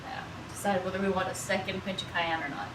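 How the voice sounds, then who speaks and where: A woman's voice: lowish voice, flat delivery, quick pace. A middle-aged woman chatting casually and playfully as she cooks on a livestream, rambling and often repeating her words. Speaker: cooking streamer. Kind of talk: casual livestream chat while cooking. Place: small indoor kitchen room. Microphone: distant, several feet away. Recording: roomy echo, distant mic, background noise.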